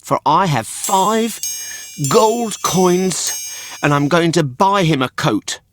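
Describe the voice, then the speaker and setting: A man's voice: high-pitched, expressive, takes it slowly, a bit bright, slight background noise, voice pushed up, heavily acted. A man voicing a naive, proud little wooden puppet boy in a storybook, eager and childlike.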